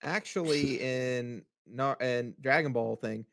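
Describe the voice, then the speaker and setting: A man's voice: mid-range voice, slow, creaky, crowd noise. A man chatting casually about manga as a co-host on a panel podcast.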